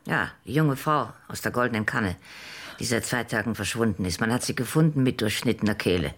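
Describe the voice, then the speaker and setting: Very deep-voiced, sombre woman. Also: animated, fairly quick, slightly rough, full character voice. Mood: grave. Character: sombre, subdued woman bringing grim news. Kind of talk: German radio drama acting.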